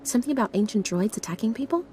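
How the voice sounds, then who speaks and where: A woman's voice: on the high side, lively intonation, rapid-fire, a little mellow, a little hiss or hum, lightly acted. Woman acting an eager, chatty young Jedi novice. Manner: chatty, informal. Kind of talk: scripted video game acting.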